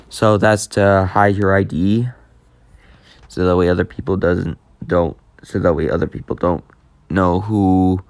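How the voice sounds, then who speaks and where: A man with a low, smooth voice walks through an app in a casual, unscripted way. He takes his time, often pausing partway through a sentence. The sound is dry and close, with little room on it. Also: no echo. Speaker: YouTube host demonstrating an app. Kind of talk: casual unscripted tutorial commentary.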